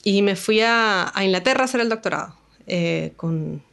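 A woman with a Venezuelan Spanish accent speaks at an ordinary middle pitch. She is a guest in an interview. She explains things patiently, one step at a time. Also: animated, normal speed, gravelly, a bit bright. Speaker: astronomer, guest.

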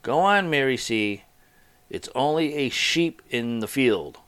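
A bloodthirsty undead corpse from a spooky folk tale, acted out by a male storyteller. It gloats and commands in a menacing, theatrical manner, drawing out its orders slowly. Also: mid-range voice, animated, a bit bright, clean tone. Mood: insistent.

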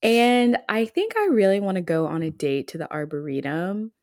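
A woman in her twenties co-hosting a podcast, chatting in a relaxed, reflective way and laughing often. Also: medium pitch, normal speed, no echo, clean studio sound. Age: 20s. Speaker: podcast co-host. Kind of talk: casual chat.

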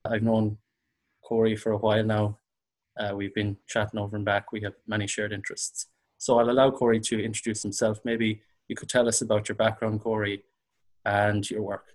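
A man's voice: lowish voice, level tone, moderate pace. A man hosting a podcast, introducing his guest in an upbeat way and asking questions with some fillers. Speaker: podcast host. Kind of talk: podcast interview.

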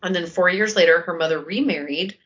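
A woman's voice: fairly quick, fairly bright tone, dull audio. Commanding-voiced woman podcast co-host. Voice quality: commanding, low-sounding voice. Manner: joking, chatty, says "like" often. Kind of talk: casual podcast chat, telling a history story.

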